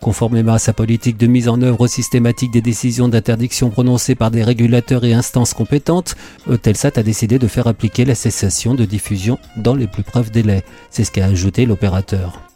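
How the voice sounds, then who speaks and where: A man's voice: medium pitch, flat delivery, brisk, mellow, soft background music. A man presenting a radio media column, reading a script with opinionated, informal asides.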